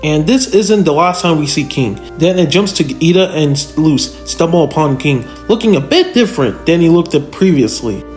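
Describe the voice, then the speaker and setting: Higher-pitched man commentating over loud music. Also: animated, normal speed, hardly any pauses. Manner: conversational, addresses viewers directly with rhetorical questions. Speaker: video narrator, fan power-scaling reviewer. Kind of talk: informal analytical commentary over video. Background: background music.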